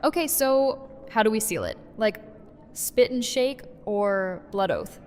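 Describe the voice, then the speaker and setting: A woman's voice: a little high, expressive, slow, darkish tone. Woman acting blunt woman leader, echoing hall. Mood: wry. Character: blunt, wry, guarded woman community leader. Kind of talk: fan audio drama acting. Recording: echoey hall, faint music behind.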